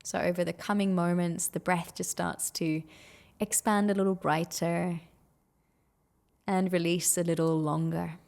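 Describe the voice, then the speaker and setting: A woman guides a meditation in a fairly low voice with a noticeable creak at the edges. She speaks gently and soothingly. She takes her time, leaving long pauses between phrases. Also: level tone.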